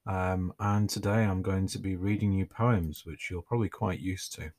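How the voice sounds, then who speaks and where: A man reads his own writing for his podcast. His voice sits very low, and his delivery is relaxed, with a dry, self-mocking humour. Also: normal speed. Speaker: podcast host and author.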